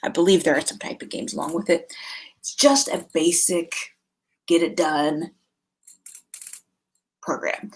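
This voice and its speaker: A middle-aged woman hosting a vlog, talking casually and conversationally, thinking aloud as she gives recommendations, with a slightly husky voice. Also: on the low side, a little mellow. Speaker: homeschool vlog host. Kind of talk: casual informal product recommendations to camera.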